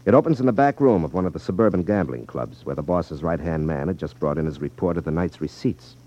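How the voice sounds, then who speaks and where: A dull 1950s radio recording of a hard-boiled male detective telling his case. The voice is deep, with wide, emphatic swings and a wry, knowing edge. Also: expressive, fast talker, mellow.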